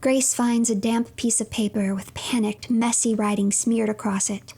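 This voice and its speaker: A woman reads scripted game narration in storybook fashion. Her voice is on the high side, husky with breath and edged with creak, often sinking near a whisper. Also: steady tone, normal speed, mellow.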